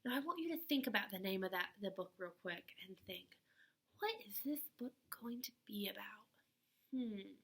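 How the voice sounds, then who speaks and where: A woman reading a picture book aloud to young children in an expressive, deliberate way, like a teacher at storytime. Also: medium pitch, gravelly.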